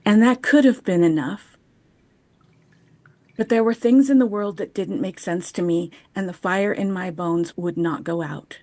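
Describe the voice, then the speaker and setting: A woman in her fifties giving a measured, poetic chapel reflection over a video call. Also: fairly low pitch, a little mellow, no echo. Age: 50s. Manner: measured, poetic, unhurried delivery. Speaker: chapel speaker. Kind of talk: reflective personal chapel talk, read aloud. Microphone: video call microphone.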